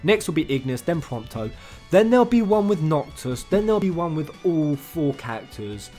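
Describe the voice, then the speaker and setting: A man with a slightly high voice talks casually as a gaming channel host, with music playing faintly behind him. His manner is excitable and informal, with loose, sweary phrasing. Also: normal speed. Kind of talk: casual gaming news commentary. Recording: music under the voice. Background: background music.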